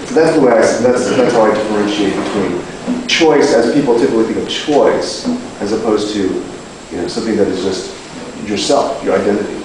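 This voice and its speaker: A man's voice: lowish voice, normal speed, noisy background. A man in the audience at a talk, asking a question in an earnest, casual way. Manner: earnest, casual, uses "you know". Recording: echoey hall taped from far off.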